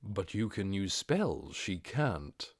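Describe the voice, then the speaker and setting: A man voicing a measured, discreet undead adventurer leader in a hushed, breathy voice for an audiobook. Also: low voice, big pitch swings, no room sound, clean studio sound, pitched below the performer's own voice, exaggerated voice.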